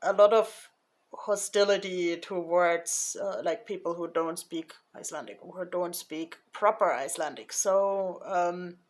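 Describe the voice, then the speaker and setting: Slow, hesitant woman vlogger. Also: lowish voice. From Germany. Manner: hesitant, many fillers and restarts; slow, thoughtful delivery. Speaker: YouTuber. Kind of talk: casual vlog recap.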